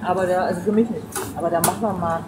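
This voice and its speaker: A woman's voice: fairly low pitch, fairly even intonation, very fast. A woman shopper in casual chat, recorded from a distance inside a supermarket. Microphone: far ambient microphone. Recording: distant, echoey supermarket with loud noise. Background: loud shop noise.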